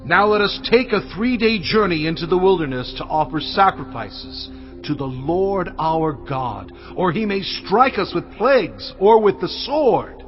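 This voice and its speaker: A man's voice: sits high, lively intonation, unhurried, muffled recording, faint music behind, pitched above the performer's own voice. A man voicing Moses and Aaron as earnest messengers who proclaim their demands. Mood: urgent.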